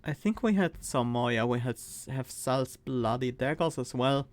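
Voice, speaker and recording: A man with a Swiss accent, streaming a card game and thinking aloud, reading cards and weighing choices with pauses and fillers. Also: medium pitch. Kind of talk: casual gameplay commentary.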